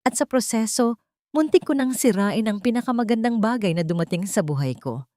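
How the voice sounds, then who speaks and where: A woman's voice: fairly high pitch, animated, brisk, warm tone, no room sound, studio quality, voice pushed up, close to an everyday voice. A Filipino woman voicing a remorseful, devoted rich businessman in an audiobook, earnest and pleading. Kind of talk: audiobook character dialogue.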